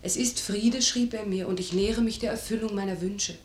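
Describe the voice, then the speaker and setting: A woman's voice: medium pitch, brisk, reverberant, a little hiss or hum, close to an everyday voice. A young actress playing a well-bred, earnest young noblewoman in a classic comedy radio drama, with polite, composed diction. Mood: wistful.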